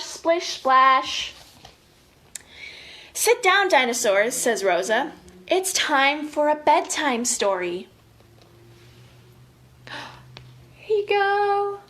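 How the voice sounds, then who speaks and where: An American woman leading children's story time as an educator, expressive and chatty, reading and giving instructions directly to young listeners. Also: sits high. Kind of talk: animated picture-book reading and casual guided instruction for young children.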